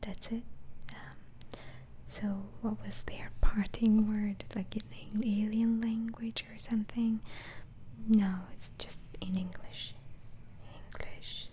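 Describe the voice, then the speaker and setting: A woman whispering softly in a slow, hesitant, soothing ASMR roleplay as a gentle, reassuring doctor. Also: medium pitch, level tone, low-quality recording. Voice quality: soft, breathy whisper.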